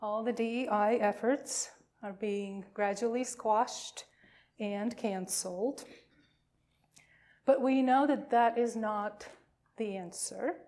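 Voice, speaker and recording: A woman pastor welcoming a congregation and reading liturgy, with deliberate phrasing and frequent pauses. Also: medium pitch, fairly even intonation, a little mellow. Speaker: pastor leading a worship service.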